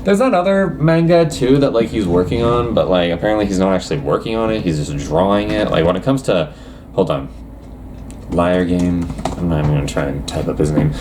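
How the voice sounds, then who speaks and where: A man's voice: low-pitched, lively intonation, fairly quick, background noise. A young man casually reacting to anime news, reading quotes aloud and rambling with lots of hesitations.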